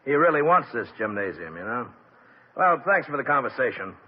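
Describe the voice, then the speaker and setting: A man's voice: medium pitch, big pitch swings, brisk, husky. An actor playing a tough, blunt, streetwise gangster father in a 1950s radio drama. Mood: sardonic. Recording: dull lo-fi old radio broadcast.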